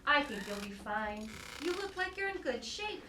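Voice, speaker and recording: High, peppy yoga instructor played by a woman. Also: very animated, moderate pace, a loud crowd. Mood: peppy, reassuring. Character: cutesy, peppy forties female yoga instructor. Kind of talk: live comedy table read. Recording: roomy, very noisy, distant stage pickup.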